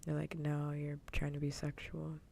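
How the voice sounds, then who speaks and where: A woman livestreaming casual ASMR chat in a soft, breathy whisper, rambling close to the microphone. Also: low voice, monotone, moderate pace. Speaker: livestream host.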